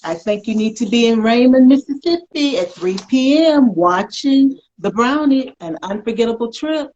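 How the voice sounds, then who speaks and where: A woman hosting a live online interview show with an emphatic, deliberate, announcer-like delivery. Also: fairly high pitch, animated, a little mellow. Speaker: show host. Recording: slightly dull, lo-fi stream.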